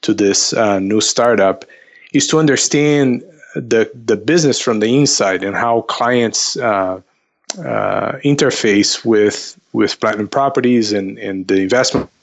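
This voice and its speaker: A man answering conversationally as an interview guest, haltingly, repeating words as he goes, on slightly lo-fi audio. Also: fairly low pitch, gravelly. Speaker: investment counselor, podcast guest.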